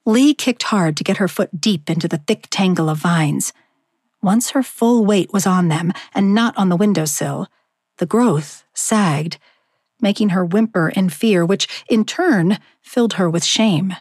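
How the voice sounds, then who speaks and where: A woman reading a novel excerpt aloud in an expressive, measured narration style. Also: lowish voice, dry recording, studio quality. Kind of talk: audiobook narration.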